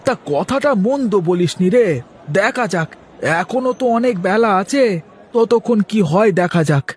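A man's voice: sits high, expressive, normal speed, breathy edge. A man voicing a plain-living village vegetable seller in a cartoon, wheedling and defensive, full of colloquial interjections. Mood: musing. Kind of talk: cartoon character dialogue.

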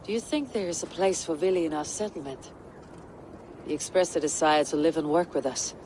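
Woman acting husky, low-voiced stoic Viking warrior. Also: darkish tone, a little hiss or hum, close to an everyday voice, poor audio. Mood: grave, measured. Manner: measured, grave. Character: stoic, thoughtful female Viking warrior leader. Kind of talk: video game dramatic acting.

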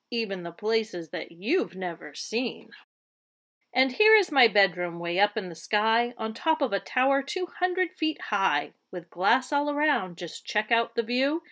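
A woman reading a children's picture book aloud like a teacher, with expressive storybook delivery. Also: medium pitch.

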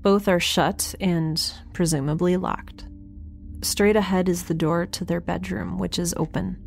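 A woman playing a nervous, wry young scientist who narrates a field log in a halting, conversational way for an audio drama. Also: fairly low pitch, fairly even intonation, creaky, faint music behind. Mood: uneasy, observant. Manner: halting, self-interrupting, conversational.

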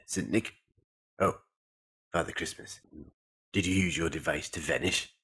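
A man playing a gruff, suspicious alien warrior in an audio drama, gravelly, with a pompous, blunt and formal manner. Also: low voice, measured pace, fairly bright tone, full character voice. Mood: curious. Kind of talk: fan audio drama acting.

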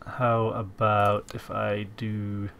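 Guy with a stuffy cold, low gravelly voice, mumbling thru his game moves, some hiss behind. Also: monotone, slow pace, a bit bright. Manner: musing aloud while playing, many fillers. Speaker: gaming channel host. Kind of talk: casual strategy game commentary.

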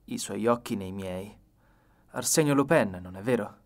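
A man's voice: lowish voice, normal speed, lightly acted. An old male police detective from an audiobook crime story, acted out by a man. He speaks imperiously and with triumph, in a commanding manner.

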